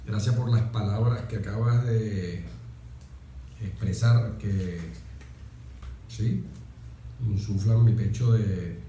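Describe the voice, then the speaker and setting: A man in his forties with a Venezuelan accent, speaking deliberately and emphatically as an interview guest, with pauses and repeated phrases. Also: on the low side, dark tone, a little hiss or hum. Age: 40s. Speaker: interview guest, military officer. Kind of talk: reflective, oratorical interview talk. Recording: very echoey room.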